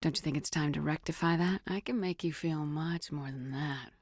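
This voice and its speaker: A confident military commander of a starship in a video game. Her voice sits on the low side and is recorded close and dry. She speaks with clipped, assured authority and a dry, teasing wit. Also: moderate pace, dry recording. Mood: soft, intimate. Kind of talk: scripted video game dialogue.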